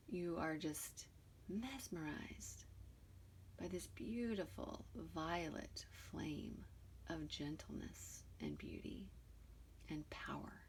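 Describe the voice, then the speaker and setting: A woman leading a guided meditation in a soothing, deliberate way, with soft, breathy phrase endings and pauses between short phrases. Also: deep voice, slight background noise.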